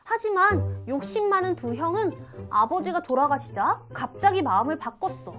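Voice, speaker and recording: Very high-pitched woman storyteller. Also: sing-song, moderate pace, music in the background. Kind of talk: scripted children's story narration.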